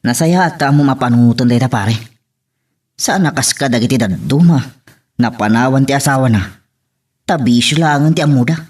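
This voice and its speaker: A man's voice: mid-range voice, normal speed, pauses often, darkish tone, distant mic, lightly acted. A man playing a frank drinking buddy in an amateur audio drama, talking conversationally and giving advice. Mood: earnest.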